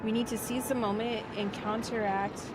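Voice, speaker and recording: A woman's voice: on the high side, little pitch movement, normal speed, very noisy. A woman streaming a game, chatting casually and reading text aloud in a playful, self-deprecating way with some swearing. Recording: loud game music behind the voice. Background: video game music.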